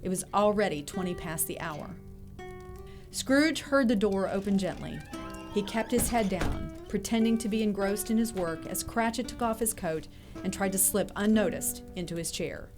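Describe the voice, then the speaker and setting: A woman's voice: mid-range voice, moderate pace. A woman narrating an audio drama in a scripted storytelling style. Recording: music playing behind the voice.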